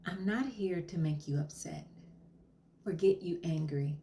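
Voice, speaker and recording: A woman plays a patient therapist in a comedy sketch who speaks in a slow, breathy near-whisper. Her voice is low and mellow, and she keeps her tone gentle and probing. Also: slight background noise. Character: soft-spoken calm female therapist, patient and probing.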